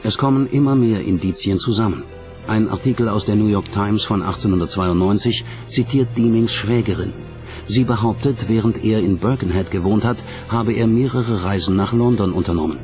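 A man's voice: lowish voice, moderate pace, darkish tone. A man reading scripted documentary narration as the narrator. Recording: music bed under narration. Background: background music.

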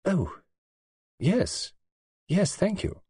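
A man reading an audiobook, voicing a gentle, reserved young woman who speaks softly and calmly. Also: sits high, extremely slow, husky, dry recording, voice pushed up, exaggerated voice. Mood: quiet, calm. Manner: soft-spoken and calm. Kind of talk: audiobook character voice.

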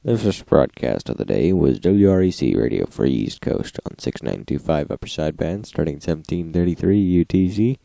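A man's voice: rumbling low, expressive, normal speed, vocal fry, hardly any pauses, dark tone, no echo. A man narrating a log in a matter-of-fact, list-like way, as the host of a recap. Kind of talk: scripted narration, reading logs.

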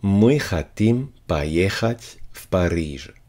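A man reading short phrases for a language lesson, saying each one slowly and repeating it. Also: sits low, expressive, darkish tone. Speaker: language teacher.

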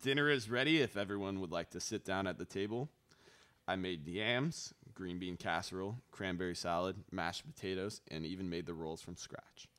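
A man's voice: low voice, normal speed, a bit bright, clear, resonant tone. A young man playing a fussy, put-upon son in his twenties who hosts a family dinner in a radio play. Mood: dutiful. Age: young adult. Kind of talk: live radio theater acting, scripted. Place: small studio, seated at table with others. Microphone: stand microphone up close.

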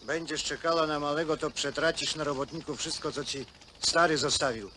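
High-pitched, forceful, scheming working man. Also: steady tone, brisk. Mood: needling. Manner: colloquial, needling. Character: scheming, cajoling brother, stout working man. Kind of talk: naturalistic film acting. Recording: faintly noisy old film soundtrack.

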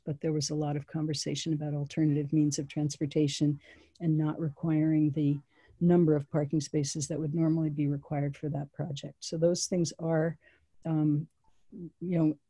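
A town planning official speaks on a video call at a public meeting. Her voice is very dark and mellow, and the sound is dry and close. She talks in a measured way, pausing often with ums and small restarts. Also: sits low, dry recording.

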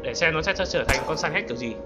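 A man's voice: a little high, lively intonation, fast. A man giving casual, exclamatory gameplay commentary as a gaming YouTuber, throwing in English exclamations. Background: music.

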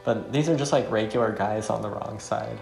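Gravelly man chatting over a game. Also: on the low side, fairly quick, a little mellow. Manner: self-deprecating, jokey. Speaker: game developer playing own game. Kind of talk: casual playthrough chat. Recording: roomy echo, faint music behind.

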